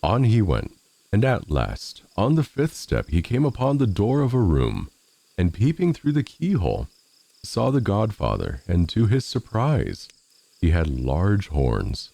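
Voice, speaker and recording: A man reading a storybook fairy tale in a measured, expressive read-aloud manner. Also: on the low side, vocal fry. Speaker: storyteller, narrator of fairy tales. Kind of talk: scripted storybook narration.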